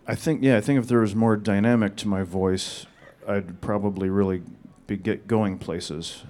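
Low-voiced male panel guest, dry and deadpan, monotone. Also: normal speed. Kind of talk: audience Q&A answers.

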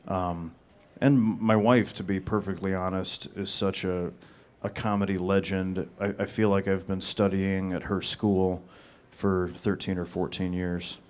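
A man answering audience questions at a panel in a flat, deadpan monotone. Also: low-pitched, a little slow, few pauses, a little hiss or hum. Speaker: panel guest.

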